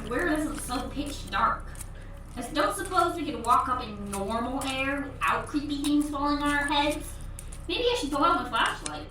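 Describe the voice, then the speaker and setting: A woman's voice: sits high, animated, normal speed, pushed and bright, slight background noise, poor audio. A woman playing a plucky, breezy young circus girl who chatters resourcefully in a family audio drama. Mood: breezy, curious. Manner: chirpy, breezy, self-talking. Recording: faint music under the voice.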